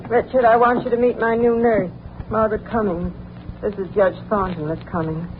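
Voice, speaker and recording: An actress playing a jealous, bedridden middle-aged wife who speaks in a commanding, indignant, clipped way in a 1940s radio drama. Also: female voice, medium pitch, steady tone, normal speed, natural voice. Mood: imperious, impatient. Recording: muffled, noisy 1940s radio recording.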